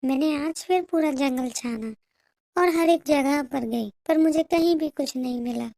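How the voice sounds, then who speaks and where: A woman's voice: high-pitched, a little slow, vocal fry, mellow, no echo. A woman voicing a sly, self-pitying young female bird in a cartoon moral story, with a whiny, pleading manner. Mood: whining, pleading.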